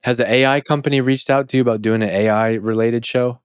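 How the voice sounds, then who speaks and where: A man's voice: mid-range voice, fairly even intonation, fast talker, clear, resonant tone, no echo, studio quality. An American man hosting a podcast in a casual interview style, informal and chummy with loose phrasing. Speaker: podcast host.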